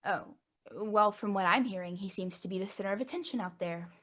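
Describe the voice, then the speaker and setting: A woman's voice: mid-range voice, fairly quick, fairly bright tone, close to an everyday voice. A woman reading a ditzy, opportunistic young woman in a virtual staged reading, chatty and gossipy. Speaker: also reads stage directions. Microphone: video call.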